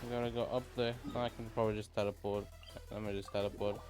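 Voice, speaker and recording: A man's voice: on the low side, flat delivery, fast. Casual male game streamer over music. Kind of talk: casual gameplay commentary.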